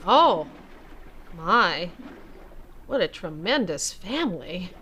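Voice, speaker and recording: Actress as bright, effusive American mother. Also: lowish voice, big pitch swings, slow pace, exaggerated voice. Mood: admiring. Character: friendly young American mother, admiring and polite. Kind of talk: audio re-creation of a 1930s mystery film. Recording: noisy track with background ambience.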